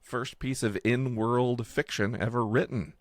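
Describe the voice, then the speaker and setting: A man co-hosting a podcast, explaining casually and off the cuff in a halting, digressive way. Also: medium pitch, steady tone, no echo. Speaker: podcast co-host and world builder.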